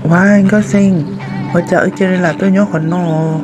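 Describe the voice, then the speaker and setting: A man voicing an excitable adult man in a folk-tale cartoon, exclaiming often. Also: high voice, brisk, natural voice. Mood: lively. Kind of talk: cartoon voice acting. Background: music.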